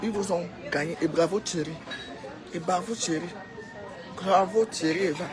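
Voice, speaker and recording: A woman's voice: lowish voice, moderate pace, a crowd in the background. A woman with a Cameroonian accent giving an informal, opinionated monologue to followers, exhorting them and repeating phrases for emphasis. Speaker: social media commentator.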